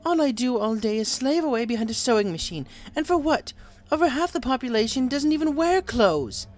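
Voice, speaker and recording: Guy doing a fancy lady pony, pitched up, prim n dramatic, kinda whiny, storybook character. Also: lively intonation, a little fast. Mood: whining. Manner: prim, genteel, theatrically wistful, whining. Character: refined, melodramatic adult female pony seamstress, lovelorn and whiny. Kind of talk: audiobook character voice.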